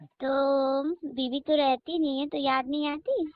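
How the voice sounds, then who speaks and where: A lonely, flirtatious housewife played by an actress speaks in a fairly high voice. She coaxes persistently and suggestively, as if chatting on a private call. The recording is dull and dry. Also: fairly even intonation, takes it slowly, no room sound. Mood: curious, probing. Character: flirtatious, lonely married housewife, coaxing and forward. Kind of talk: staged casual phone conversation.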